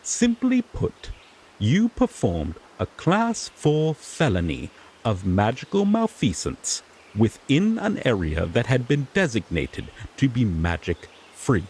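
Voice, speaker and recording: A man's voice: mid-range voice, wildly swooping pitch, slow, darkish tone, a little hiss or hum, full character voice. A man playing a sassy griffon knight in a fantasy audio drama, an earnest rookie lawman who explains things formally with mild exasperation. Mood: officious, patient.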